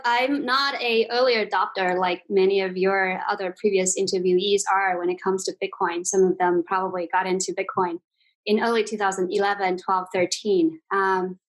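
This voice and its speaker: A woman's voice: mid-range voice, rough recording. A woman in her forties from China, speaking English with a Chinese accent as an interview guest, thoughtful and full of fillers and restarts. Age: 40s. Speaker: guest, exchange CEO. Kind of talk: interview conversation.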